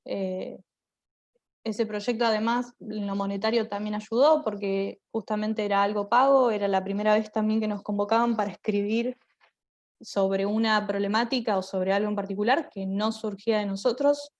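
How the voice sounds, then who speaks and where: A woman's voice: medium pitch, fairly even intonation, normal speed. A woman gives conversational panel answers, reflective and often rephrasing as she explains. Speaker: theatre group member. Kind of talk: conversational panel answers about creative work.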